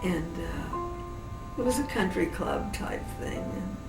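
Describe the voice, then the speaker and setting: A woman in her eighties from New Orleans, with no regional accent, telling fond memories in an unhurried way with soft chuckles. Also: lowish voice. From New Orleans, USA. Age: 80s. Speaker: interviewee. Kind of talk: reminiscing family interview. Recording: roomy sound from a distance, music behind.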